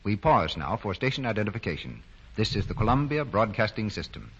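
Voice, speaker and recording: An American man working as a 1940s radio announcer, delivering scripted advertising with deliberate, emphatic salesmanship. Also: fairly low pitch, very animated, breathy edge, faint music behind. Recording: dull old 1940 radio broadcast.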